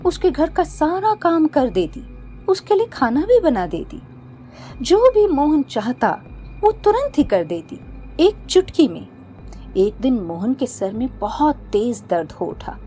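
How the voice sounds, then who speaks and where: A woman's voice: sits high, sing-song, unhurried, mellow. A woman storyteller reading a scripted horror-comedy tale as narrator. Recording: background music.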